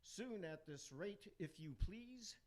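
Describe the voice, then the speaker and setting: A man acts out a scheming emperor from hell in a radio play. He declaims wicked rhymes in a fairly high voice, with lively, theatrical swings of pitch. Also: slow pace. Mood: gleefully wicked. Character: scheming dead Roman emperor Caligula in hell, gleefully wicked.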